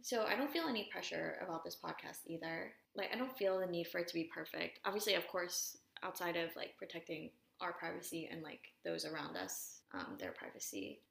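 A young woman with a raspy voice co-hosting a podcast, talking frankly and relaxed in long run-on thoughts. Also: lowish voice, brisk, few pauses. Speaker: podcast co-host. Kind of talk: casual chat. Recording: echoey room.

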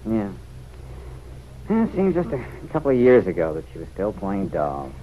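A man's voice: on the low side, huge pitch swings, normal speed, narrow, tinny audio. An actor playing a teasing, good-humoured young man in an old-time radio drama, with a bantering manner. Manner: bantering, chuckling. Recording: noisy vintage radio recording.

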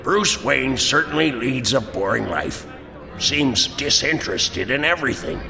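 A man's voice: medium pitch, expressive, a little slow, creaky, over music. A man playing an unimpressed, chatty police official in an audio drama, with long reverb. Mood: musing.

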